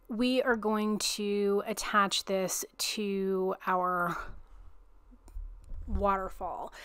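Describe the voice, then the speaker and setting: A woman calmly narrating a craft tutorial at an unhurried pace. Her voice is smooth and clean, and it sits close and dry with no room sound. She keeps it casual and chatty, poking fun at herself now and then. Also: medium pitch, no echo. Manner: relaxed, chatty, self-deprecating. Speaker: card-making tutorial host.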